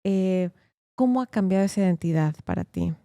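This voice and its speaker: A woman lawyer hosts a casual interview and keeps the talk moving. She sounds chatty and encouraging, with a mellow tone. The voice is recorded close and dry. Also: fairly low pitch, normal speed, vocal fry, no echo. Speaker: host, immigration lawyer.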